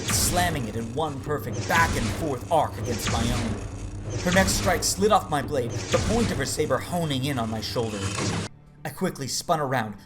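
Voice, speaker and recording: A man acts out a weary space-knight father recounting his fight in first person. He is grave and intense, with breath showing in his words. His voice sits at a middle pitch over music, in the style of an audio drama. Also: lightly acted. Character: battle-weary Jedi father narrating his desperate lightsaber duel.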